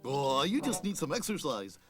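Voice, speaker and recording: A man's voice: fairly high pitch, sing-song, normal speed, over music. An actor playing a cocky, boastful male moose in a cartoon. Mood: bragging. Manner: bragging, blustery.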